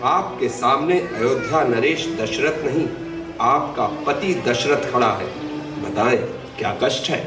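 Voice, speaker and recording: An aged king, played by a male actor, speaks slowly and grandly, with great emotion. The recording is echoey and distant, with music, in the style of a theatrical mythological drama. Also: mid-range voice, lively intonation, natural voice. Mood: solicitous. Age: older. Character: aged king and devoted, pleading husband.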